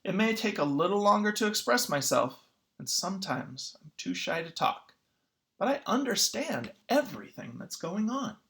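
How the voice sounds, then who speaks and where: Someone reading a children's picture book aloud in an expressive, teacherly way. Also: medium pitch, moderate pace, gravelly. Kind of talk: children's read-aloud narration.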